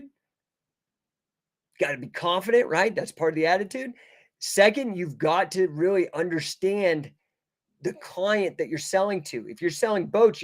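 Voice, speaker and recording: A man coaching an online audience informally, speaking in emphatic short phrases with slangy, buddy-style address and frequent check-in questions. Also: high voice.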